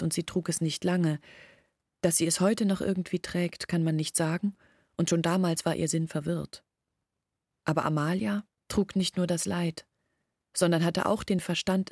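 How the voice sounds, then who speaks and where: A village woman from an outcast family in a literary radio play. Her voice is low and husky, recorded close and dry, and she tells of shame in measured, thoughtful sentences. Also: a little mellow, no room sound. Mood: grave, reflective. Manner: measured, reflective, articulate storytelling. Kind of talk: literary radio drama monologue.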